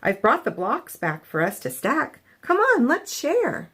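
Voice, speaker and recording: A woman voicing a possessive young male dinosaur bully in a storybook, with drawn-out, emphatic delivery. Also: on the high side, wildly swooping pitch, husky, pitched above the performer's own voice, heavily acted. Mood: pleading. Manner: drawn-out, emphatic, grumbling to shouting.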